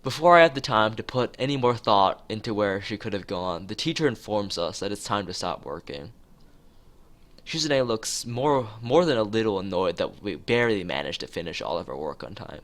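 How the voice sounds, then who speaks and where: Low-pitched man, very animated delivery. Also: moderate pace. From United States. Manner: stumbles over and repeats phrases while reading. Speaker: streamer. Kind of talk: reading a visual novel aloud on stream. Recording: music playing behind the voice.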